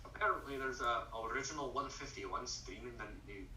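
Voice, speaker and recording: A young man in casual, halting chat as a guest on a friend's voice-call live stream. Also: medium pitch, sharp tone, a little hiss or hum. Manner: rambling, halting, restarts phrases. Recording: echoey room, far from the mic.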